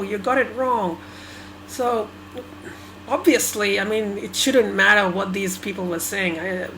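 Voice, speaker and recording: A woman's voice: medium pitch, fairly bright tone, poor audio. Hesitant woman vlogging over background noise. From Sri Lanka. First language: Tamil. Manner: halting, hesitant, many restarts and fillers. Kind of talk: personal reflective monologue.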